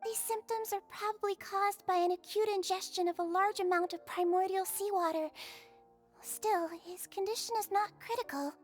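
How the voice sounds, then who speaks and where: A woman voicing a small, sweet, earnest nurse in a video game, polite and clinical with a gentle scolding streak. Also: very high voice, steady tone, moderate pace. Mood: caring, polite. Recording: music under the voice.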